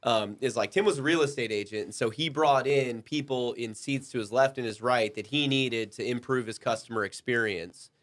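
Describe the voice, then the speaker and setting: A man's voice: mid-range voice, normal speed, few pauses, a bit bright. A man hosting a casual, bantering panel talk, talking his points through at length. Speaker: podcast host.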